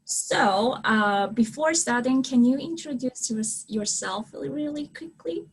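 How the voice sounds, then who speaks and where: A woman's voice: high-pitched, expressive, unhurried, husky, low-quality recording. A woman hosting a casual chat, bubbly and effusive in her talk. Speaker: host of an English-learning channel. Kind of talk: casual video-call chat.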